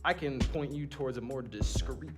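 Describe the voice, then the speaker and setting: A man's voice: medium pitch, normal speed, music in the background, lightly acted. A man playing an impatient, coolly arrogant genius doctor who speaks with dry, pompous precision in an audio drama.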